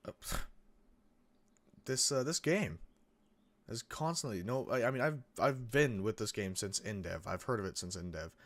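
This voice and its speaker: A young man hosting a gaming channel, chatting casually over gameplay in a rambling way with lots of restarts, his voice a bit croaky from coming down with a cold. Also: on the low side, lively intonation, moderate pace, pauses often, a bit bright. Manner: rambling, many restarts and fillers. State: getting sick, a bit croaky.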